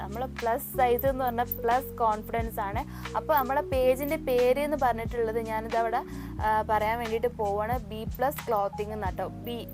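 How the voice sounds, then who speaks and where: A woman talks in a casual vlog style. She addresses her viewers directly and informally, dropping in English words. Music plays clearly behind her. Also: fairly high pitch. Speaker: vlogger and small clothing business owner.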